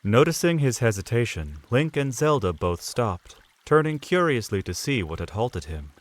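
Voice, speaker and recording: A man narrating an audio drama in a measured storytelling manner. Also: medium pitch, big pitch swings. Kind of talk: scripted narration.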